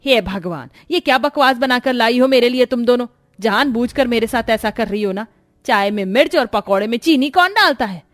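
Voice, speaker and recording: A woman's voice: on the high side, dry recording, lightly acted. A woman voicing a strict, middle-aged mother-in-law in a moral-story cartoon, indignant and domineering as she lectures. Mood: angry.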